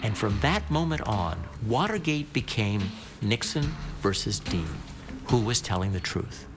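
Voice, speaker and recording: A man speaking as a documentary interviewee, telling the story with animated, lively delivery. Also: lowish voice, unhurried, music in the background. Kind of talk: reflective documentary commentary.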